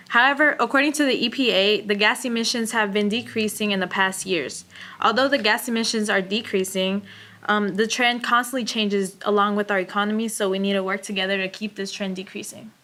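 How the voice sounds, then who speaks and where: A young woman from Texas reading a prepared student presentation at a brisk pace, with the odd "um". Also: mid-range voice, sharp tone. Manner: brisk, with occasional um fillers.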